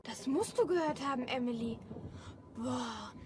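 A woman's voice: sits high, lively intonation, a little slow, loud music behind the voice. A female performer playing a bold young girl in a German crime radio drama, insistent and pressing.

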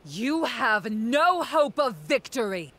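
A woman's voice: on the high side, sing-song, slow pace, fairly bright tone, exaggerated voice. A woman voicing a proud, stern young female general in a video game, formal and commanding in manner.

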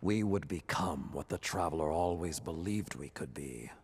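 An actor playing a solemn, battle-worn middle-aged warrior lord in a video game cutscene, with a grave, deliberate, weighty delivery and a gravelly, husky voice. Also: male voice, extremely low pitch, expressive, a little hiss or hum. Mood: somber, haunted.

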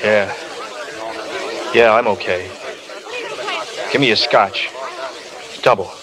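An actor playing a proud but weary former film star, subdued and wounded, in a 1930s radio drama. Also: male voice, fairly low pitch, fairly even intonation, slow. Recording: old radio broadcast, crowd chatter behind.

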